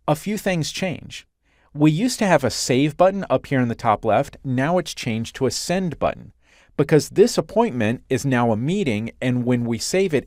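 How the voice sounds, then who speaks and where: Man narrating a software tutorial, lively intonation. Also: mid-range voice, no room sound, clean studio sound. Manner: clear step-by-step explaining. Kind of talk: instructional screencast narration.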